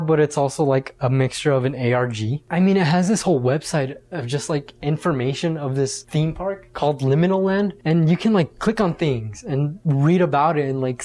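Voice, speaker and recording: A man's voice: on the high side, a little mellow. A man hosting a casual video essay, talking in an informal, conversational and slangy way.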